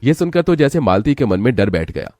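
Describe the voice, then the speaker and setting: A man's voice: medium pitch, big pitch swings, a little fast, darkish tone. A man narrating a scripted story as its storyteller.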